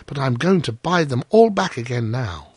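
A man's voice: mid-range voice, expressive, moderate pace, breathy edge, no echo, pitched above the performer's own voice. A man voicing a humble, grateful, naive gardener in a storybook, earnest and polite. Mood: earnest, hopeful. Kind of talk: storybook character voice.

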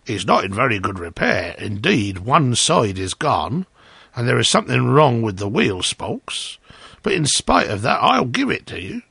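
Sanctimonious miller, read by a man: condescending storybook hypocrite, husky voice, dry close sound. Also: mid-range voice, big pitch swings, unhurried, pushed and bright, no room sound, full character voice. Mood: self-congratulating. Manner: pompous, moralizing, condescending. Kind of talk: storybook character voice.

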